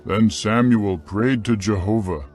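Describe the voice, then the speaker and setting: Male narrator for dramatized scripture: solemn, measured, lowish register, slow delivery. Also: a little mellow.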